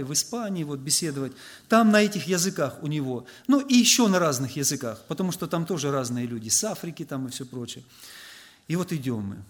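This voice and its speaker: Higher-voiced man preaching with anecdotes. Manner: conversational, anecdotal, frequent filler "vot". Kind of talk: storytelling sermon to a congregation.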